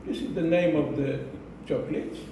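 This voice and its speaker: A man giving a prepared speech to an audience in a slow, deliberate manner, repeating phrases for emphasis. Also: on the high side, steady tone, warm tone. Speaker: club speaker giving a speech. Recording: echoey room, distant mic, noisy.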